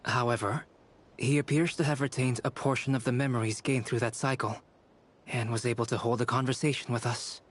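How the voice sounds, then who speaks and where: Mid-pitched man, acted, formal, measured, sober report delivery, video game dialogue. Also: fairly even intonation, close to an everyday voice.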